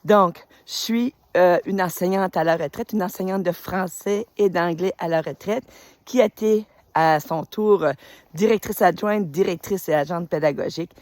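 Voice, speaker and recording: A woman's voice: lowish voice, moderate pace, dry recording. A woman, welcoming followers to her spiritual group in a casual, rambling way, recorded outdoors on a phone. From New Brunswick, Canada. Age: 50s. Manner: warm, enthusiastic, rambling with many fillers. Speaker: retired teacher and spiritual group leader. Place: outdoors on a road in winter.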